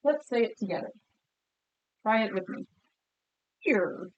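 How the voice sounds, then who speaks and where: A woman's voice: on the high side, expressive, measured pace, dull audio. Woman teacher leading a vocabulary lesson. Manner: encouraging, questioning, enunciates words for pupils to repeat. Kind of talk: read-aloud vocabulary lesson with children.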